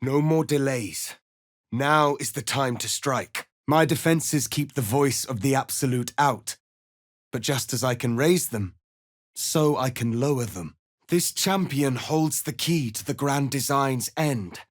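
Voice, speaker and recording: A man playing a proud, solemn alien warrior prince in a fantasy video game, speaking in a formal, commanding, deliberate way. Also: medium pitch, no room sound, studio quality, natural voice. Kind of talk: dramatic fantasy game acting.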